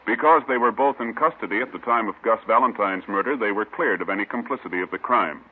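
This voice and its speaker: A man announcing formally on 1950s radio in a solemn, measured scripted style. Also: on the low side, husky. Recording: tinny old radio broadcast recording.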